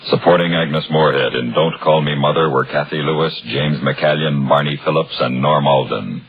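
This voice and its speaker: A man announcing a radio drama in a formal, measured, portentous 1950s scripted style. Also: extremely low pitch, fairly even intonation. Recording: dull old radio broadcast recording. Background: music.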